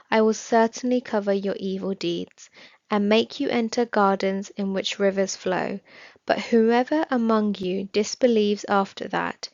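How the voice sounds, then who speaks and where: A woman reading a scripted religious study text aloud in a measured, formal manner. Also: mid-range voice, vocal fry, darkish tone, dry recording. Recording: slightly dull, dry recording.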